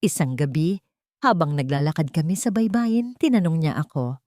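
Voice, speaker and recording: A woman narrating a first-person audiobook drama in a steady, dramatic reading on a close mic. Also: low voice, normal speed, no echo.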